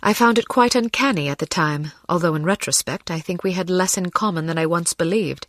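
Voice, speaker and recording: Actress as cool, low-pitched woman secret agent. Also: brisk, no room sound, lightly acted. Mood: reflective. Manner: dry, composed. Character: cool, dryly witty young female secret agent. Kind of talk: scripted spy game dialogue.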